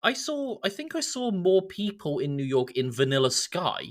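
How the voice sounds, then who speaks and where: A man's voice: sits high, big pitch swings, normal speed. A British man chatting as a podcast host, scornful and sweary, acting out mocking quotes. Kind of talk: casual chat.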